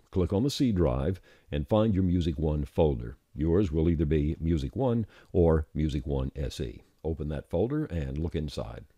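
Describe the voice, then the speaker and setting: Low, lively, slightly husky male tutorial narrator. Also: no echo, studio quality. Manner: plain, step-by-step explaining. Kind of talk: scripted instructional screencast narration.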